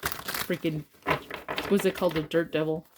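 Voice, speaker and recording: A woman's voice: sits low, steady tone, normal speed, very noisy. Blunt, chatty woman reading tarot. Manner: blunt, chatty, swears freely, rambling asides. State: congested. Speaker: tarot reader. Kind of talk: casual tarot reading to camera.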